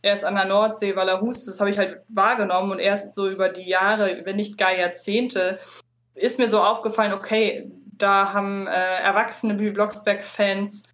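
A woman's voice: medium pitch, level tone, normal speed, a bit bright. A woman in a casual podcast conversation, talking in a wordy, explanatory way. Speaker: podcast co-host appearing as guest.

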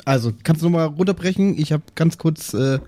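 A man's voice: fairly high pitch, a little fast, warm tone, a little hiss or hum. A man improvising casual, flippant banter as a player in a tabletop game. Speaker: player in a tabletop role-playing podcast.

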